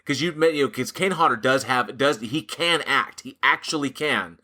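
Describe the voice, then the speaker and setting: A man's voice: mid-range voice, normal speed, sharp tone. A man co-hosting a casual talk about horror films, rambling, swearing freely and laughing often.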